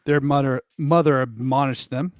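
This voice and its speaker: A man's voice: mid-range voice, steady tone, moderate pace, darkish tone, dry recording, clean studio sound. A man narrating a storybook as part of an online group reading.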